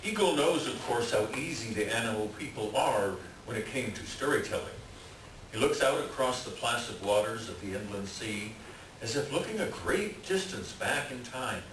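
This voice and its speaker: A man's voice: mid-range voice, lively intonation, normal speed, lightly acted. A man playing a solemn storybook narrator, reading scripted narration in a readers theater. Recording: echoey library room, distant, faint hiss.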